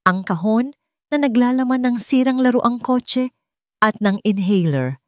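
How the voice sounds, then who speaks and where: A woman's voice: a little high, animated, measured pace, dry recording, studio quality. A woman narrating a scripted story in a dramatic storytelling style.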